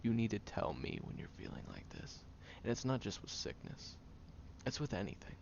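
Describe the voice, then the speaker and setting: Deep, gravelly, guarded tsundere man, acted out. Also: sing-song, lo-fi sound, a little hiss or hum. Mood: hushed, concerned. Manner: halting, stern yet caring, often dropping to a hush. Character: guarded, prickly tsundere young man nursing a sick friend. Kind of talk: intimate audio roleplay to the listener.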